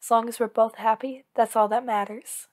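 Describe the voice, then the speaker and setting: A woman's voice: fairly high pitch, steady tone, moderate pace, close to an everyday voice. A woman playing an anxious, possessive villain lover in an intimate ASMR roleplay, speaking in an earnest, pleading, insistent way. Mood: soft, reassuring. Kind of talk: ASMR roleplay acting, one-sided dialogue.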